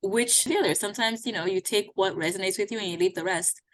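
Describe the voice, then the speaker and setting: A woman's voice: mid-range voice, brisk. A woman in her thirties, from Haiti, co-hosting a podcast and talking in an earnest, reflective way with plenty of fillers. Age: 30s. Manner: reflective, earnest, many "like" and "you know" fillers. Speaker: podcast co-host. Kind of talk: casual conversation.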